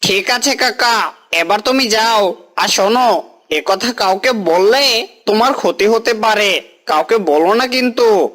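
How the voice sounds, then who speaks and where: Someone voicing a lively cartoon fox. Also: mid-range voice, unhurried, lightly acted. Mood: eager. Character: clever fox, also a greedy boy. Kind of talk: cartoon voice acting.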